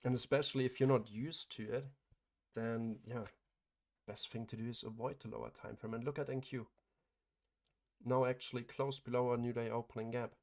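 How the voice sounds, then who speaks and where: Male trading streamer, hoarse and gravelly, flat matter-of-fact delivery, frequent throat clearing. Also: mid-range voice, normal speed. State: hoarse, losing his voice. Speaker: day trader hosting a livestream. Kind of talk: live trading commentary.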